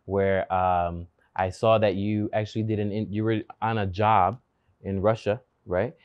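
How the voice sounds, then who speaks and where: A man's voice: fairly low pitch, flat delivery, a little slow, a little mellow, clean tone, dry recording, highs cut off. A man with a Spanish accent hosting an interview on an outdoor patio, agreeable and chatty, slipping into Spanish phrases. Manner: agreeable, frequent backchannels, mixes in Spanish phrases. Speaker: host, interviewer. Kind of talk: casual interview conversation. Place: shaded outdoor patio with plants. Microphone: lapel.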